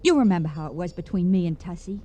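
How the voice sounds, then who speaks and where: A woman's voice: lowish voice, quick pace, mellow, lightly acted. An actress playing a brash, wisecracking reformed shoplifter turned salesgirl, in an old radio crime drama. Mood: wistful, confiding. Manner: slangy, wry, breezy. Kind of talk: 1940s-50s radio crime drama acting. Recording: vintage radio recording with noise.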